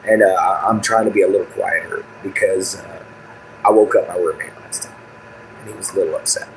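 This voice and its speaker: A man's voice: fairly even intonation, a little fast, a little hiss or hum, low-quality recording. A man, chatting casually and rambling on a live stream from a phone propped on a table at home. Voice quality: booming voice. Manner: laid-back, rambling, apologetic. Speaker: livestreamer. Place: home room with kids and dogs.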